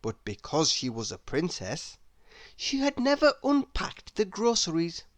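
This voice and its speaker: A woman reading a children's story aloud in an expressive, deliberate, theatrical way. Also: fairly low pitch, no echo. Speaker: storybook reader.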